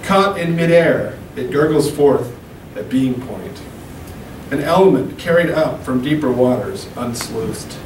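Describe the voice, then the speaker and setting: A man in his thirties reading poems at an open mic into a handheld mic, slow and deliberate, with casual asides between poems. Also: mid-range voice, lively intonation. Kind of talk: poetry reading. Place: small room at a podium. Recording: echoey room, camera from far off, hum.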